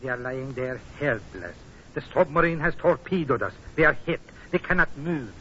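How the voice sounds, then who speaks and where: An actor playing an earnest, grateful tanker captain, emphatic and dramatic in 1940s radio style. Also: male voice, a little high, lively intonation, normal speed, sharp tone. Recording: muffled, noisy vintage radio recording.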